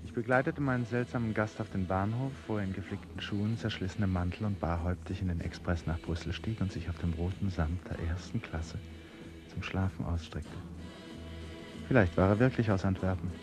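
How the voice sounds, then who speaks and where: A man's voice: deep voice, brisk, music in the background, natural voice. An actor playing a puzzled, wistful painter in a literary radio play, musing and questioning as he speaks. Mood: reflective. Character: searching, puzzled male painter and narrator, wistful.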